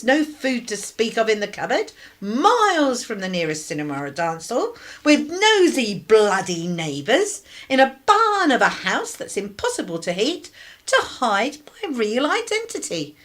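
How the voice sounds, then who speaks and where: An actress playing a weary, sarcastic 1950s British working-class wife in a radio drama, with a clipped, irritable manner. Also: female voice, on the high side, huge pitch swings, normal speed, a bit bright. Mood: scathing sarcasm.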